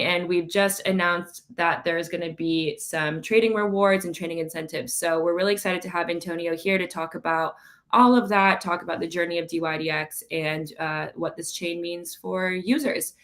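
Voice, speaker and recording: A young woman with a slightly low voice hosts a live online interview in a bubbly, chatty way and laughs easily. She sounds a bit under the weather. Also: steady tone, normal speed, a bit bright. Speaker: interview host from the marketing team. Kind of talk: casual chat and hosted interview.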